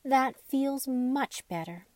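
A woman's voice: high voice, animated, slow pace, no room sound, pitched above the performer's own voice. A woman voicing a small, polite male doll in a storybook, with a plaintive way of talking. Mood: relieved.

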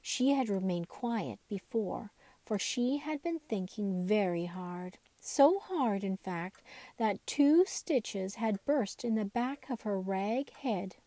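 Female storybook reader: mellow, softened tone, dry close sound, expressive and deliberate telling. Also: mid-range voice, no echo. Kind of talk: scripted children's story narration.

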